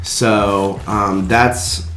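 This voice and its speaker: A man's voice: fairly low pitch, fairly even intonation, drawn-out words, fairly bright tone. A young man co-hosting a movie news podcast in a casual, chatty style. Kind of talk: casual chat. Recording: slightly echoey room, music underneath. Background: background music.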